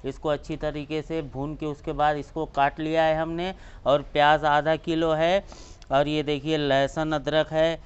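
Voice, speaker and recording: A man's voice: on the high side, smooth, lo-fi sound. A man hosting a cooking channel, walking through each step of a recipe outdoors beside a clay stove. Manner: explains each step, points things out.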